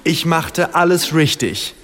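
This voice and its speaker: A man's voice: on the high side, animated, takes it slowly, a bit bright. An actor playing an earnest, self-pitying language-course man, mixing English and stilted German in a radio play. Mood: instructive. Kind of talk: radio play dialogue in language-lesson manner.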